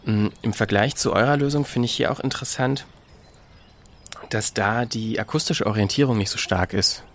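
A man's voice: on the low side, normal speed, a bit bright. A man explaining things in a casual discussion, feeling his way with self-corrections.

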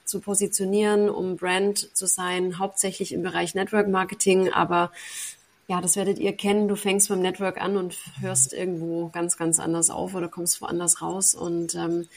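A woman speaks from her apartment over a video call, her pitch staying fairly level as she tells her story. She talks in long, flowing stretches full of fillers and English loanwords, in a relaxed podcast-guest manner. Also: normal speed. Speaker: podcast guest, sales mentor. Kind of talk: casual conversational interview.

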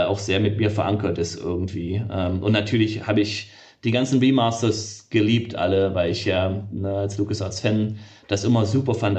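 Low-voiced man, halting and thoughtful. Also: a little mellow. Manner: hesitant, many fillers and restarts. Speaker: game developer, interview guest. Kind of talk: casual conversational interview.

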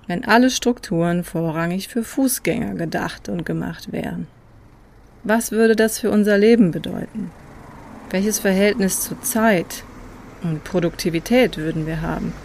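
A narrator reading a scripted personal travel story in a reflective, measured way, recorded close on a microphone. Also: mid-range voice, vocal fry, darkish tone, a little hiss or hum. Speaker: podcast host and narrator.

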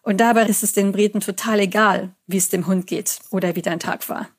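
A woman's voice: medium pitch, steady tone, fairly quick, husky. A German woman in her thirties, a psychology lecturer, answering an interview in a chatty way and telling stories with quoted examples.

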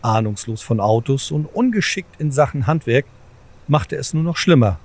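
Guy narrating an audio play, mid pitched, measured storyteller delivery. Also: animated, a little mellow. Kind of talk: scripted audio drama narration.

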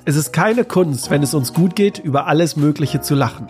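German podcast host, fairly high voice. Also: male voice, lively intonation, moderate pace, over loud music. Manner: friendly, asks questions with many "äh" fillers. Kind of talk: scripted podcast intro and interview questions.

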